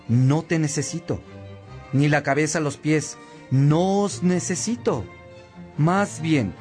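An actor playing a devout monk of ancient Roman times in a radio drama, speaking in a measured, declaiming way. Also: male voice, on the high side, darkish tone. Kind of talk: Spanish-language radio drama, solemn scripture reading.

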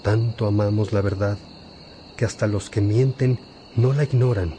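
An actor playing an elderly, gentle bishop who exhorts like a father in a radio drama. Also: on the low side, fairly even intonation, a little hiss or hum, close to an everyday voice. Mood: fatherly, earnest. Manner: paternal, exhorting, deliberate.

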